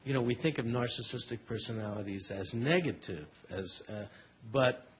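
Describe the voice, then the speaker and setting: A man offering a comment from the floor at a panel discussion, talking haltingly with many fillers and repeated words. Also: mid-range voice, breathy edge. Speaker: audience member at a panel. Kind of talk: thoughtful spoken comment.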